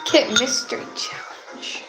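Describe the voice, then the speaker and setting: A woman's voice: unhurried, echoey room, music in the background, rough recording. A woman from Europe chatting casually while playing games, reading on-screen messages aloud.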